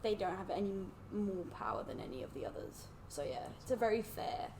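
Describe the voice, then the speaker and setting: A woman's voice: medium pitch, big pitch swings, fairly quick, noisy background. A young woman from Switzerland, an international student, explaining at length in casual podcast chat with many fillers. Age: young adult.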